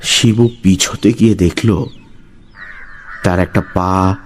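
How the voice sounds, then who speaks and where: Slow, low-pitched man narrating suspense. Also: steady tone, slight background noise. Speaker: story narrator. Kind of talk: dramatic scripted horror-story narration. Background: background music.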